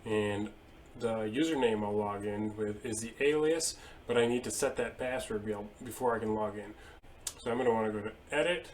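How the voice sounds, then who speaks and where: A man in his thirties at his desk, casually explaining software settings step by step with a few fillers. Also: fairly low pitch, slight background noise. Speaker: IT tutorial presenter. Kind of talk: screen-share software walkthrough. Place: indoor room at a desk.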